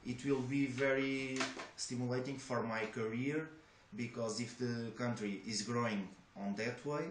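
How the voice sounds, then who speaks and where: A man's voice: medium pitch, steady tone, measured pace. A young man from Portugal, a native Portuguese speaker with a Portuguese accent, answering job interview questions in English with hesitant restarts. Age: young adult. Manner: hesitant, many restarts and fillers. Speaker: job applicant. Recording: echoey room with faint noise.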